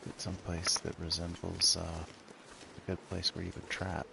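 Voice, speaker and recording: A laid-back man with a gravelly, soothing smoker's voice, giving casual commentary on a survival game as he plays. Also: deep voice, completely flat delivery, unhurried. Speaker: game streamer. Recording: clearly noisy background.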